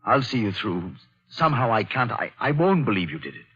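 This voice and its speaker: A stammering gentleman. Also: fairly low pitch, big pitch swings, a little fast, husky, heavily acted. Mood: reassuring. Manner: stammering, earnest and reassuring. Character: loyal British gentleman friend, earnest. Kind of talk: 1940s radio acting. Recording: dull old radio broadcast.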